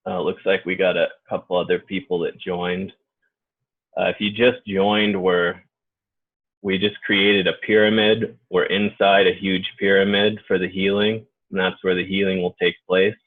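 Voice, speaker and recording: Guy doing guided meditation off the cuff, really deep voice, sounds like a muffled phone call. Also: flat delivery. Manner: informal, halting phrasing. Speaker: guided meditation leader and healer. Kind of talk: unscripted guided group meditation. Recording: muffled phone-like narrow-band audio.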